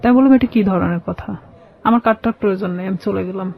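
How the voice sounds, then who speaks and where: A woman with a Bangladeshi accent telling a personal story as a radio guest, halting and repeating phrases as she explains. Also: medium pitch, vocal fry, husky. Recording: slightly dull, lo-fi radio sound.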